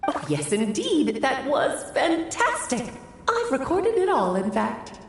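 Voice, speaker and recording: A woman playing a mischievous, theatrical witch mother with genteel manners in an animated video game. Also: high-pitched, slow pace, background noise, exaggerated voice. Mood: gleeful.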